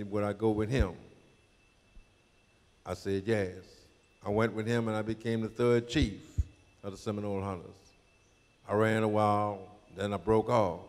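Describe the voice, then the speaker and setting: A man in his eighties with a low voice tells his story plainly and steadily. He speaks into a handheld mic in a community hall, and a long echo hangs after his words. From New Orleans, Louisiana. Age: 80s. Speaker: panel guest, Mardi Gras Indian chief. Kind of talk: telling a personal story to an audience.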